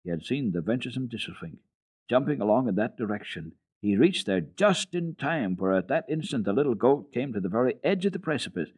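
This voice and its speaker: A man giving a dramatic reading of a children's book for a radio program, in a lively, expressive storytelling manner. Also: mid-range voice, fairly quick, husky, no room sound.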